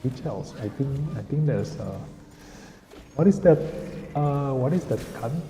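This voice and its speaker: A man's voice: mid-range voice, expressive, measured pace, warm tone. A young man from Indonesia, chatting casually on a game stream and drifting off topic. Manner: rambling, often loses the thread. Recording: roomy echo with long reverb, faint music.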